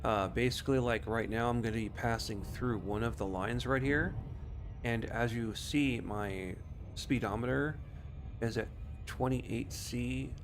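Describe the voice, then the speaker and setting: A man's voice: medium pitch, measured pace. A man giving casual, rambling live commentary as he streams a game, explaining things loosely. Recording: noisy home streaming setup.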